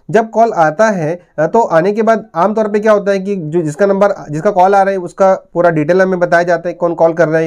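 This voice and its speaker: Mellow-voiced man giving a step-by-step tutorial. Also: high-pitched. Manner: repetitive step-by-step explaining. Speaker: tech tutorial YouTuber. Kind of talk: explanatory tutorial talk to viewers.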